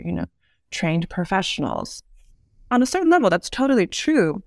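Articulate woman with a creaky low-key fry. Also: no echo, clean studio sound. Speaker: podcast guest, urban design expert. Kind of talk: thoughtful interview conversation.

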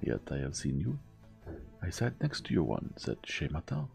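A man voicing a gentle, fastidious elderly foreign detective who speaks in a measured, formal, persuasive way. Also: a bass voice, wildly swooping pitch, gravelly, soft background music, full character voice. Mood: earnest.

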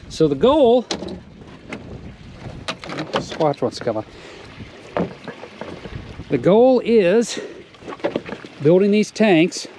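A man on his farm casually walking through his fish-and-plant tank setup, explaining step by step with frequent pauses and "uh"s, recorded outdoors on a wearable camera. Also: high voice, mellow. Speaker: farmer showing his aquaponics setup. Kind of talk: casual walk-through narration. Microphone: wearable action camera. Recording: noisy outdoor camera audio. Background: outdoor ambience with running water.

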